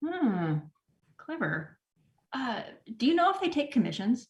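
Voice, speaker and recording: A woman's voice: high-pitched, big pitch swings, unhurried, muffled recording. Woman playing a wry, awkward store manager. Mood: awkwardly curious. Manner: hesitant, wry. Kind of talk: comedy table read acting.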